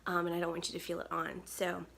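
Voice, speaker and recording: Soft-spoken thirtysomething woman, weak tired gravelly voice, lowish, lots of ums, faint hiss behind. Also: completely flat delivery, quick pace. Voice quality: gravelly, breathy. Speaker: makeup brand owner. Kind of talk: casual makeup tutorial. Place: home studio, indoors.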